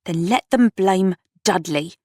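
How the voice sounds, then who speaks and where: A woman's voice: fairly low pitch, slow pace, dark tone, no echo, studio quality. A woman voicing a blunt, gossipy, celebrity-obsessed young woman in an audiobook, incredulous and emphatic. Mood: indignant.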